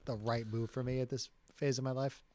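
A man's voice: medium pitch, flat delivery, brisk, mellow, no room sound. A man bantering informally and jokingly as co-host of a music podcast. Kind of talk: casual banter. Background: pop-rock songs playing.

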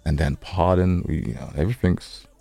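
A man's voice: low voice, fairly quick, vocal fry, warm tone, husky, dry recording, soft background music. An American man co-hosting a podcast, joking casually and repeating phrases playfully for emphasis. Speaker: podcast co-host.

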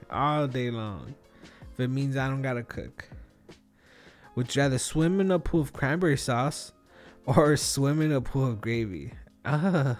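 A man in his thirties chatting casually and solo as a podcast host, thinking aloud in a rambling, slangy way. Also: medium pitch. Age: 30s. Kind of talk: casual solo podcast chat, answering questions.

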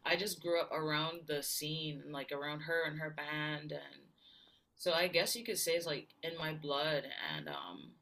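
A woman's voice: low voice, normal speed, a bit bright, rough recording. A woman from Barbados, telling her story as a guest in a casual interview. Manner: chatty storyteller, many fillers and laughs. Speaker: guest singer-songwriter.

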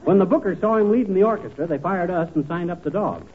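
A man's voice: high-pitched, expressive, fast. A man playing a blustery, bumbling but kindly married wisecracker with folksy, slangy patter, in 1940s radio comedy style. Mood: boastful. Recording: tinny, noisy 1940s radio recording.

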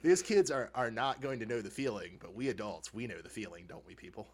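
A man co-hosting a podcast, chatting casually and comically as a fan, with animated, informal, chuckling banter. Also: lowish voice, brisk. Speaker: podcast co-host. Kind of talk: casual comedic fan discussion.

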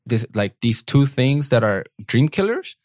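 A man's voice: mid-range voice, big pitch swings, unhurried, a little mellow, dry recording, clean studio sound. Upbeat man co-hosting a motivational podcast. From Mexican American. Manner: upbeat, laughs often, talks straight to listeners. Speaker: podcast co-host. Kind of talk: casual motivational podcast chat.

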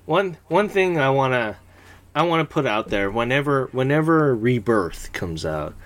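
A man's voice: mid-range voice, big pitch swings, normal speed. A man co-hosting a podcast in casual chat, talking eagerly with frequent stammered restarts. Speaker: podcast co-host.

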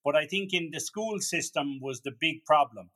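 A man's voice: fairly high pitch, moderate pace, fairly bright tone. A man hosting a podcast, chatting casually with many restarts and fillers. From Ireland. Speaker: podcast host. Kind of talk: casual conversation.

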